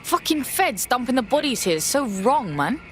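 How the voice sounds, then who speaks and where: A woman's voice: high voice, very animated, normal speed, a little hiss or hum. A woman playing a streetwise young hacker with a London accent in a video game, slangy and punchy. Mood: indignant, streetwise. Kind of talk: game cutscene voice acting.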